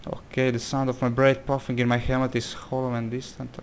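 Croatian guy doing a let's play, gravelly voice, hesitant, reads stuff out, faint hum behind. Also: medium pitch, completely flat delivery, fairly quick. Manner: reads game text aloud, hesitant, exclamatory. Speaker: gameplay commentator. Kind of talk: casual let's-play commentary.

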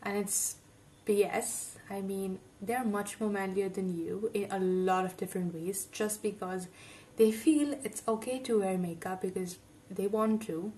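A woman's voice: medium pitch, measured pace, mellow, a little hiss or hum. An outspoken young woman from India gives casual reaction commentary, emphatic and talking with her hands. English is not her first language. She sits a little back from her earphone mic in a home bedroom, so her voice sounds slightly distant. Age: young adult. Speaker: reaction video host.

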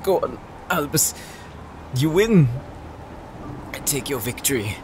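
A man's voice: mid-range voice, expressive, slow pace, background noise. A man acting a wounded, devoted warrior brother in a dramatic fantasy audio roleplay, with a strained, emotive delivery. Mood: pained, resigned.

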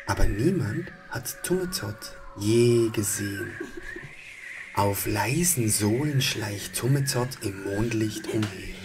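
A man narrating a children's story as storyteller, in a hushed, expressive manner. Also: fairly low pitch.

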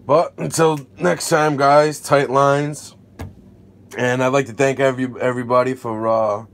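A man casually narrating an unboxing vlog as the creator, indoors at a table. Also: fairly low pitch. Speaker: fishing video creator. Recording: noticeable background noise.

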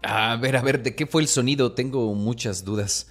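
A man's voice: mid-range voice, moderate pace, faint music behind. A Mexican man hosting a comedy podcast, talking in a conversational, humorous, slangy monologue style.

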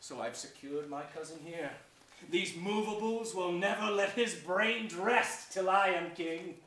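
Scheming Shakespearean royal villain, high-pitched, sardonic, mock-sweet; roomy, noisy stage recording. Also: male voice, a bit bright. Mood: gloating. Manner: sardonic chuckles, drawn-out deliberate phrasing. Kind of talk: theatrical Shakespearean staged reading. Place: theatre stage before an audience. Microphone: far camera. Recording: echoey stage, distant camera, noisy.

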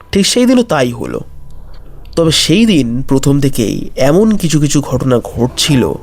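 A man tells a scripted horror story with suspense. His delivery is very unhurried and his voice has a creaky, gravelly edge, with music playing behind it. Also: medium pitch, darkish tone. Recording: music bed under voice.

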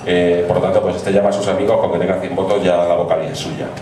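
Middle-aged man, Spanish accent, quick casual speech, echoey room heard from a distance, background noise. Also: deep voice, little pitch movement, warm tone. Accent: Spain. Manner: quick, conversational, trailing off. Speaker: guest speaker. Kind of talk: answering audience questions. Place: small pub stage. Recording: echoey pub from far off, noisy.

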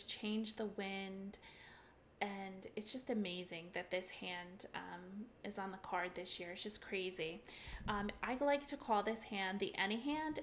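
A woman's voice: mid-range voice, a little hiss or hum. A middle-aged woman teaching a game on a webcam, walking through each step in an upbeat, chatty way with frequent ums. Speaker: mahjong teacher. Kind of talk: screen-share tutorial walkthrough.

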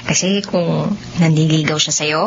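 A woman's voice: low voice, gravelly, a bit bright, exaggerated voice. An actress playing a friendly, frank young single woman with a Filipino accent in a radio drama. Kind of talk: Tagalog radio drama acting. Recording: dull lo-fi audio with faint noise.

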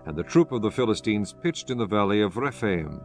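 A man narrating scripture from a script in a measured, formal manner. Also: lowish voice, lively intonation. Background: faint music.

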